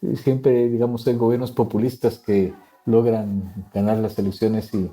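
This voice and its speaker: A man's voice: lowish voice, little pitch movement, normal speed, dark tone, rough recording. A man hosting a podcast interview, putting questions to his guest and giving short affirming murmurs while the guest talks. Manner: brief affirming backchannels, asks questions. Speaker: podcast host. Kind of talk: conversational interview.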